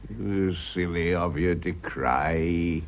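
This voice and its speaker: An actor playing a blustering but fond old aristocrat in a British radio drama, gruff and clipped, with an upper-class British accent. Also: male voice, deep voice, slow, highs cut off. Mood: fond, reassuring.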